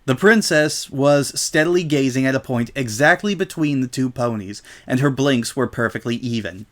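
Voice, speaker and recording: A man's voice: mid-range voice, moderate pace, a bit bright. Male narrator reading a comic story.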